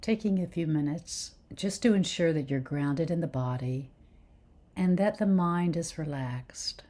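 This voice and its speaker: A woman leading a guided meditation with soothing, gentle instruction in unhurried phrases. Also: sits low.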